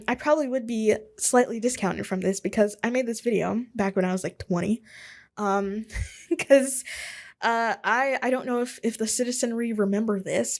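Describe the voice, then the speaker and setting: Young woman host, solo podcast chat from a home office, rambly and self-mocking, voice a bit high. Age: 20s. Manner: rambling and self-deprecating, with chuckles and asides.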